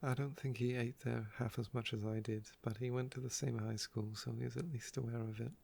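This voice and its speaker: A soft-spoken man with a croaky voice, telling stories about his day in an unhurried, wistful ASMR ramble. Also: medium pitch, level tone. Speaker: ASMR video creator.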